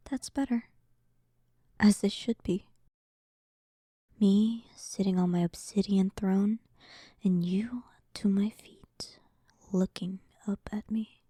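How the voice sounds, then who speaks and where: A woman's voice: mid-range voice, fairly even intonation, slow, long pauses, warm tone, slightly rough, no echo, studio quality. A woman voicing an arrogant, sarcastic demon queen in a roleplay audio drama, teasing and condescending, often dropping toward a whisper. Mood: commanding, gloating.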